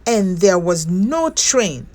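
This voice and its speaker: A woman voicing a young, anxious storybook bear in a small, plaintive, drawn-out voice. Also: mid-range voice, very animated, darkish tone. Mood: tearful, upset. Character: young toy bear, childlike, anxious and sorry.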